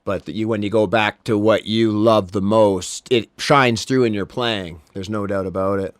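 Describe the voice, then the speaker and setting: A man's voice: lowish voice, fairly even intonation, normal speed, smooth, no echo. A man hosting a casual podcast interview, agreeing with his guest. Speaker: podcast host.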